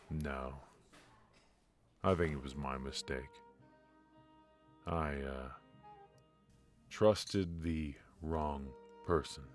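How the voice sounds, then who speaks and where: An extremely low, unhurried male voice for a brooding mafia defector, acted by a man in a noir ASMR roleplay. He is ruthless yet remorseful, confiding in halting, intimate phrases over faint background music. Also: full character voice. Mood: regretful, somber. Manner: halting, hesitant, confiding delivery.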